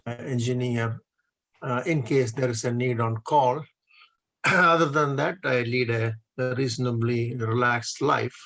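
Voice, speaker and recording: Interview guest: man in his 70s from India, native Hindi speaker, gravelly mid-range voice, deliberate and hesitant delivery. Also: mid-range voice, a bit bright, rough recording. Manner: deliberate, with frequent hesitation fillers. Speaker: guest, retired engineer. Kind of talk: measured conversational interview answers.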